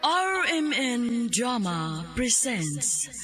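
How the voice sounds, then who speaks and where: A woman's voice: fairly high pitch, big pitch swings, extremely slow. A woman with a Cebuano accent announcing a radio drama in a dramatic, scripted style. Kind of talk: dramatic scripted programme introduction. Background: music.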